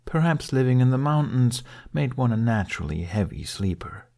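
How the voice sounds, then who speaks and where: A man's voice: mid-range voice, animated, moderate pace, creaky, darkish tone. A man reading scripted story narration as an audiobook narrator.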